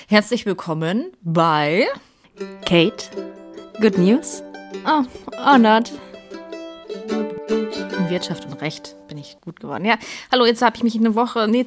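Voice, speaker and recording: A woman in her thirties from Germany hosting her own podcast, chatting casually in a rambling, self-correcting way and now and then dropping into a whisper. She sounds stressed before an exam. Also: medium pitch. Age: 30s. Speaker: podcast host. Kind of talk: casual chat.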